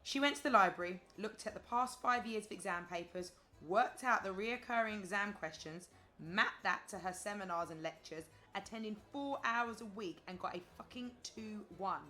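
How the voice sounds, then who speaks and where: A woman's voice: medium pitch, normal speed, hardly any pauses, pushed and bright, lightly acted. A woman acting in an audio play as a wry, sociable adult friend who talks in a chatty, sardonic way. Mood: wry exasperation. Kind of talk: audio drama dialogue.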